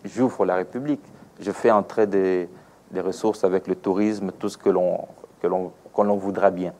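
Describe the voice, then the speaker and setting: A man's voice: deep voice, steady tone, moderate pace, mellow. A man with a Congolese French accent giving didactic, explanatory interview answers as an aviation expert, using examples and rhetorical questions. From Democratic Republic of the Congo.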